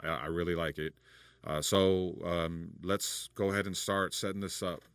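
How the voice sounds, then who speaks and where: Deep-voiced man explaining studio recording. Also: normal speed. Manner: relaxed, rambling, many fillers and restarts. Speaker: recording engineer and producer. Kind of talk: casual studio tutorial.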